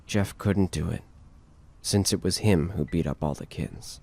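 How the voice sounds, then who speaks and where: A man's voice: deep voice, unhurried, gravelly, darkish tone. A man reading scripted narration for a horror audio drama.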